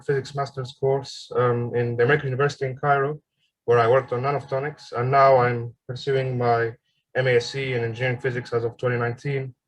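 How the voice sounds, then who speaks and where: A man in his twenties from Egypt giving an online seminar presentation as a graduate student, pausing often with ums and uhs. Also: medium pitch, low-quality recording. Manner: hesitant, frequent ums and uhs. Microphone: video call.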